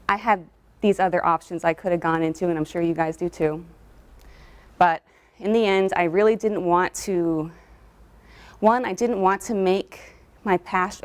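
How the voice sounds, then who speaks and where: A young adult woman, a lab technologist, giving a friendly, explanatory talk about her work and background, with frequent ums. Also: lowish voice. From New England and Southern California. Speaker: guest speaker, cytogenetic technologist. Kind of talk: presentation talk with slides.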